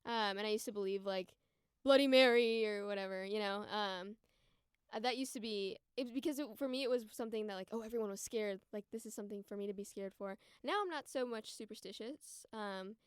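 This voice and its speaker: Podcast guest, female, about 20, American. Crisp, dry close sound, chatty and informal delivery. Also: medium pitch, a little fast, dry recording. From United States. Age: around 20. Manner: informal, frequent "like" and "you know". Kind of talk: casual conversational chat and storytelling.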